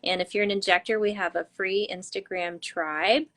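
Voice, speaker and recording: Woman hosting a live video chat; bubbly, enthusiastic, mid-pitched voice. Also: steady tone, normal speed, fairly bright tone. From United States. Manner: bubbly, enthusiastic, laughs often. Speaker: host doctor. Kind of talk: live online interview.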